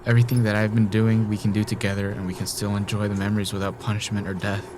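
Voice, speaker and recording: Casting: male actor for obsessive murderer stuck in a time loop, audio drama, lowish voice, intense and pleading, clearly noisy ambience. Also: little pitch movement, fast talker, lightly acted. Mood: wistful, persuasive.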